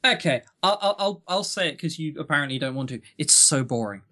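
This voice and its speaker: A man's voice: a little high, fast talker, pushed and bright. Blunt, sardonic man in casual banter. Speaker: podcast co-host.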